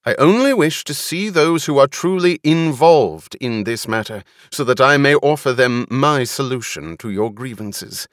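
A man voicing a resolute noblewoman who rules, speaking in a formal, deliberate and authoritative manner in an audiobook. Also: sits low, animated, a bit bright, no room sound, studio quality, heavily acted. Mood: stern, commanding. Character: stern, commanding noblewoman ruler, measured and resolute.

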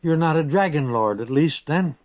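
A man's voice: fairly high pitch, animated, moderate pace, no echo, voice pushed up, natural voice. A man voicing a proud, fierce young priestess who talks scornfully and defiantly, in a storybook reading. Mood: startled. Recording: dull lo-fi recording.

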